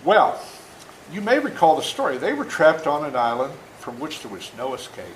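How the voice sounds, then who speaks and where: A man's voice: on the high side, very animated, moderate pace. Older man storytelling in an echoey room. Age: seventies. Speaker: author reading to schoolchildren. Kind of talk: storytelling talk and read-aloud to children. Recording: echoey room with faint noise.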